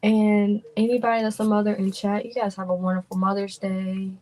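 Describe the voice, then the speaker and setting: A young adult woman hosting a livestream in a relaxed, drawling, chatty way. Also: mid-range voice, a little fast, poor audio. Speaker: streamer and host. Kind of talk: casual livestream chat.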